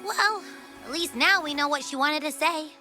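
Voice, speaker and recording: A woman voicing a small, blunt, childlike non-human companion in a video game, whiny and expressive. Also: high voice, moderate pace, over music, natural voice. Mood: grumbling. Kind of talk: video game character dialogue.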